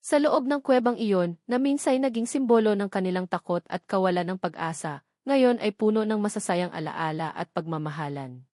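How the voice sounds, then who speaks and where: Female narrator for a romance audiobook, measured and sentimental, medium-pitched, recorded dry. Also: dark tone, dry recording. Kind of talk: scripted romance story narration.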